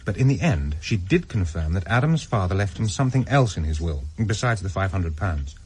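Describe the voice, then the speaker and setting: An actor playing a measured, loyal British intelligence officer, polite and reserved in a radio drama. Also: male voice, low voice, big pitch swings, darkish tone, husky, heavily acted. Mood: measured, defensive.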